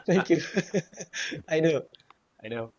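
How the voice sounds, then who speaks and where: A man in his thirties from Pakistan, speaking softly on a video call and laughing easily. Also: on the high side, animated, takes it slowly, no echo, lo-fi sound. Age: 30s. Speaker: podcast guest. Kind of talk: casual chat.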